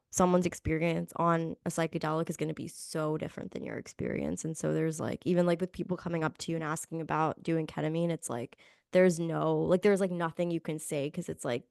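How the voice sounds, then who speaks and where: A woman in her early twenties chats casually on a podcast in a fairly low, creaky voice. She is tentative and agreeable, leaning on filler words. The recording is close and dry. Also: darkish tone, dry recording. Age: early 20s.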